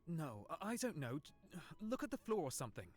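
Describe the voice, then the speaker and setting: An actor playing a grumpy, bashful young prince in a visual novel game, with a halting, easily embarrassed delivery. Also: male voice, on the high side, big pitch swings, soft background music. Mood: flustered, embarrassed. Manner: stammering, halting when embarrassed.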